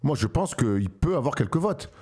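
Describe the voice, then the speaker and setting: A man's voice: medium pitch, sing-song, normal speed, darkish tone, husky. A man co-hosting a basketball talk show, chatty and teasing his colleagues. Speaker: co-host. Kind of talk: casual sports talk.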